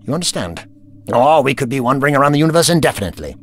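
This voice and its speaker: An actor playing an imperious, irritable time-travelling alien doctor in an audio drama, with a pompous, scolding, professorial manner. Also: male voice, mid-range voice, big pitch swings, racing, slightly rough.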